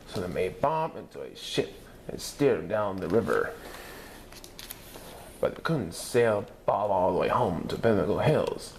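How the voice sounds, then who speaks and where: A man reading a children's picture book aloud in an animated storytelling manner, putting on voices for characters. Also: deep voice, slight background noise. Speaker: story reader.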